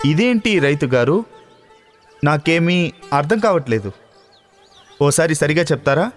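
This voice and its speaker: Guy voicing a proud bossy king for a kids story, slightly high voice, big hall reverb. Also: very animated, a little slow. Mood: curious, pleased. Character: proud, commanding king in a fairy tale. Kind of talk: children's cartoon story dialogue. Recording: long hall reverb, background music.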